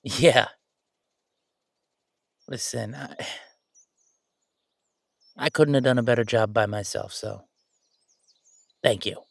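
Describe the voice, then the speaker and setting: A man playing a bossy, playful yet sentimental ghost roommate in a conversational roleplay audio drama, with animated, teasing banter. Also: medium pitch, slow, vocal fry, long pauses, breathy edge, no echo, studio quality, heavily acted. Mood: sincere, softened.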